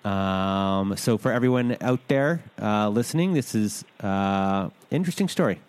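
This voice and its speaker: A man's voice: on the low side, animated, unhurried, smooth. A man hosting a podcast interview, rambling loosely with lots of "uh"s and interrupting himself. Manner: rambling, filler-heavy, self-interrupting. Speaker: podcast host.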